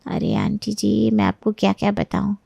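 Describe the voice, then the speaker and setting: A woman's voice: medium pitch, big pitch swings, normal speed, creaky, mellow, no room sound, clean studio sound, exaggerated voice. A woman playing a jealous young married neighbour, falsely sweet and sly, in a dramatized moral story. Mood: sly, insinuating. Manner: sly, insinuating, mock-hesitant.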